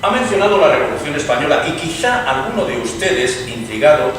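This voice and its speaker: An actor plays an eloquent liberal gentleman in radio theatre, speaking in a measured, oratorical way. His voice sits a little high. He is heard from afar in a very echoey, noisy hall. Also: very animated, far from the mic. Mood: gracious. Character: earnest, eloquent Spanish liberal revolutionary gentleman. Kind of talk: dramatized stage reading, radio theatre.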